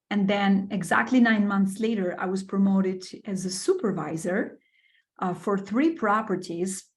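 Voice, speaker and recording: Middle-aged woman from Albania, podcast guest; enthusiastic, filler-heavy, mid pitch. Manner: enthusiastic, with many fillers and repeated words. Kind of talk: casual interview storytelling.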